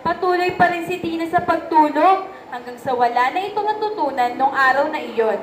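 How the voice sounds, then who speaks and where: A woman's voice: high-pitched, lively intonation, normal speed. A young woman with a Filipino accent reading scripted narration for a story. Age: young adult. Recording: echoey room, faint background noise.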